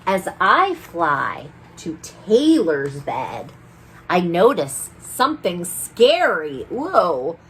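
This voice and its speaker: Lady playing a cheeky boastful tooth fairy telling a rhyming kids story, slowish, lowish voice, bit of background noise. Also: huge pitch swings, fairly bright tone, full character voice. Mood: gleeful, boastful. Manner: mischievous, theatrical. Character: sneaky, gleeful, boastful female tooth fairy narrating in rhyme. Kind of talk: rhyming storybook read-aloud.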